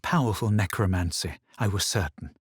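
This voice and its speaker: An actor playing a smug, self-assured male adventurer in a fantasy video game, measured and dryly dramatic. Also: lowish voice, husky, no echo, studio quality. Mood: grave, brooding. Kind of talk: video game character lines and first-person narration.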